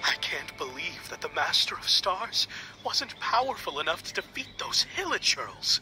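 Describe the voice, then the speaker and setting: A man's voice: fairly high pitch, big pitch swings, normal speed, rough recording. A man voicing an earnest, puzzled devotee in a video game. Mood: puzzled, disappointed. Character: earnest, puzzled young male believer, devoted follower. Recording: game audio with music underneath.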